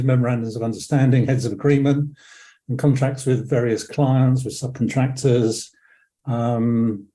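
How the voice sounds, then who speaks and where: Croaky older English man, very dark voice. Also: medium pitch. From England. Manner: rambling, many ums and self-corrections. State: croaky from a cold. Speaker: webinar presenter. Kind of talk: relaxed webinar talk.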